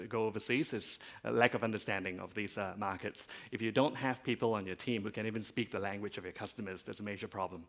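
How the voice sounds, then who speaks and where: A man's voice: on the low side, gravelly, a bit bright. A man from Malaysia speaking as a panelist, with many fillers and restarts. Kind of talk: panel discussion remarks.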